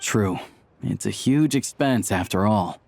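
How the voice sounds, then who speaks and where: An actor voicing an easygoing, practical young hunter in a game dub, casual, friendly and thoughtful. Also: male voice, fairly low pitch, slow, gravelly, a little mellow. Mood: agreeable. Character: easygoing, practical young male hunter doing odd jobs. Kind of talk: English game dub dialogue.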